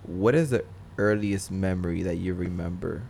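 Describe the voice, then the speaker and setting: A young man hosting a casual podcast interview, relaxed, with lots of ums and easy laughs. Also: low-pitched, moderate pace, gravelly, slight background noise. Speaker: podcast host.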